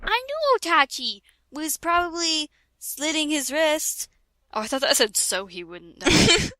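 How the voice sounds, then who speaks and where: A woman with a high, very animated voice reads a story aloud in a casual, joking manner. She is giggly and keeps stepping out of the text for asides. Her delivery is unhurried and the sound is dry and close. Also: no echo. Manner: giggly, often breaking off from the reading to comment. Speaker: reader hosting a comedic fan fiction reading. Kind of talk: casual, jokey read-aloud with ad-libbed asides.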